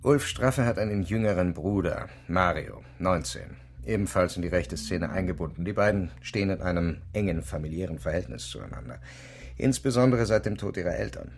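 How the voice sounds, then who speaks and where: An actor playing a pragmatic intelligence officer in a radio drama, measured, formal and evasive. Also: male voice, low voice, lively intonation, a little hiss or hum. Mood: matter-of-fact. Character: smooth-talking, pragmatic male intelligence officer handling informants. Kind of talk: naturalistic radio drama dialogue.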